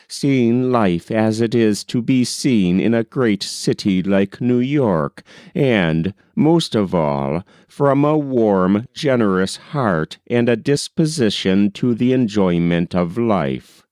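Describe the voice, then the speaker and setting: A man reading a classic novel aloud as an audiobook narrator, in a measured, unhurried storytelling manner. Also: lowish voice, a little mellow, no room sound, clean studio sound.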